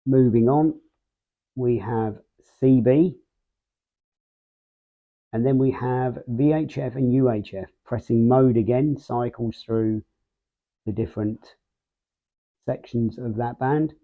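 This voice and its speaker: A man's voice: mid-range voice, takes it slowly, long pauses, narrow, tinny audio. A man hosting a casual product review, explaining things step by step. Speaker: host of a radio gear review channel.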